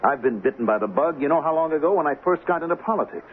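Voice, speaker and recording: A man's voice: fairly high pitch, lively intonation, fast talker, natural voice. An actor in a radio drama playing a modest, earnest male senator, ambitious yet doubtful, with a questioning, mildly wry manner. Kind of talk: old-time radio drama acting. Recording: muffled, noisy old radio broadcast.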